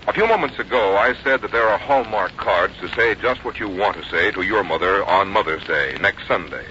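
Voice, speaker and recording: A man's voice: low voice, brisk, sharp tone, a little hiss or hum. A man reading scripted announcements and advertising as a 1950s radio announcer. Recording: dull 1950s radio recording.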